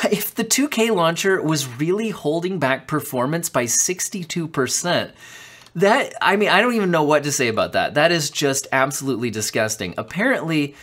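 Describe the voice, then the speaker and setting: A man's voice: medium pitch, a bit bright. A young man hosting a casual tech news show from a small room at home, reading from articles and commenting in chatty bursts with plenty of fillers. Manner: chatty, filler-heavy, quick bursts of disbelief. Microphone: studio condenser mic off camera.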